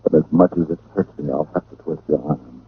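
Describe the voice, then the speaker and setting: An actor playing a wry, deadpan hard-boiled private detective in a 1940s-style radio drama. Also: male voice, rumbling low, fairly quick, slightly rough, no room sound, exaggerated voice. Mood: teasing. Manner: deadpan, wisecracking. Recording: noisy, tinny old radio recording.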